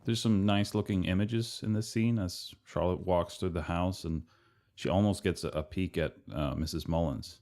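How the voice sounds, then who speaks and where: A man with a very low-pitched voice talks through a film as its director. His delivery is measured and matter-of-fact, explaining choices plainly. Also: steady tone, a little mellow. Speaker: film director giving commentary.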